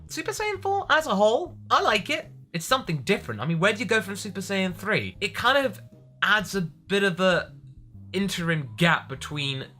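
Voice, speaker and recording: A man's voice: on the high side, pushed and bright. A man speaking expressively as a vlogger answering viewer questions, stressing and stretching words for emphasis. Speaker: vlogger and anime parody voice actor.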